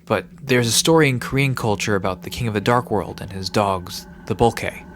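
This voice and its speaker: A man's voice: fairly low pitch, normal speed, natural voice. A man playing a laid-back, bookish park resident in an audio drama, casually explaining myths with a dry manner. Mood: easygoing, informative. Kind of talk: conversational audio drama storytelling.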